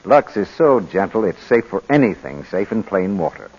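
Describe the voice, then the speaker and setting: Male vintage radio announcer and pitchman, low animated voice, muffled broadcast sound. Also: normal speed, slightly rough. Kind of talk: 1940s radio announcing and advertisement.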